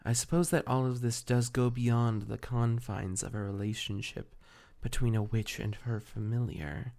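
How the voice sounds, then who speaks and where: A man playing a devoted, ancient fey familiar spirit in an intimate roleplay audio, speaking formally and tenderly with measured, archaic diction. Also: medium pitch, level tone, gravelly, a little mellow, natural voice. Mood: thoughtful.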